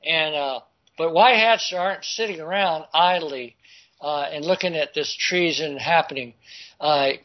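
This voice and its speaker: Man on a dull lo-fi recording. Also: a little high. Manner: halting and stumbling over words, with frequent filler. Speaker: political commentary host. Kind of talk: reading news articles aloud with off-the-cuff asides.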